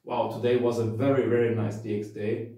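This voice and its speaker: A man's voice: mid-range voice, flat delivery, fairly quick, warm tone. A man in his thirties, an amateur radio vlogger, talking casually to camera. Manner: spells out call signs in phonetic alphabet. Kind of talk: radio contact calls and casual talk to camera. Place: outdoors in open countryside, later a bare indoor room. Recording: windy noisy field or echoey room, distant.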